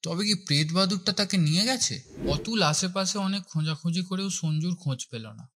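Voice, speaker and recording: A man's voice: high-pitched, expressive, fairly quick, a bit bright, a little hiss or hum. A man reading dramatic scripted first-person narration for a horror story, with music behind him.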